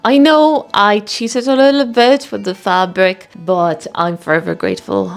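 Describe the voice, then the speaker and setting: A woman chatting to camera about her sewing, self-mocking and quick to laugh. Her voice sits at an ordinary middle pitch. Soft music plays faintly underneath. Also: vocal fry. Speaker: sewing vlogger. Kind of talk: casual chat to camera.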